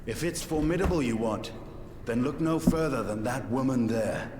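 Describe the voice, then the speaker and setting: An actor playing an aloof dragoon warrior in a video game, speaking in a clipped, laconic way. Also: male voice, mid-range voice, lively intonation, normal speed, background noise, natural voice. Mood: dry. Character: aloof male dragoon warrior, terse and dry. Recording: roomy game audio over loud music.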